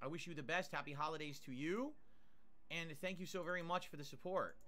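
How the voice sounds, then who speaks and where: A man with a bright, forceful voice pitched a little high chats his way through a livestream. He talks casually, describing food bite by bite, reading viewer tips aloud and thanking each supporter. He sounds a little nasal from nasal drip. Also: brisk. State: mouth burned, nasal drip. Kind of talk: casual stream chat.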